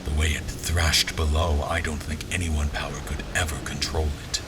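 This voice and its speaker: A man playing a grave, reflective general who narrates an audio drama in measured, literary phrases. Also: very deep voice, level tone, noisy background. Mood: brooding. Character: grave, reflective male army general recounting his journey. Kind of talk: scripted first-person dramatic narration. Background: music.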